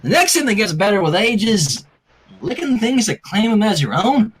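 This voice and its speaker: A man's voice: high voice, sing-song, normal speed, slightly rough, exaggerated voice. A man voicing a plain-spoken, folksy country teenage girl from a cartoon, terse and a little smug. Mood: matter-of-fact.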